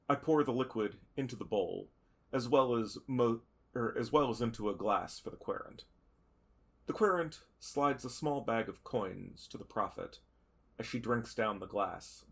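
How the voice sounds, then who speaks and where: Male roleplayer, low and gravelly, deliberate literary first-person narration. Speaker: tabletop roleplay player.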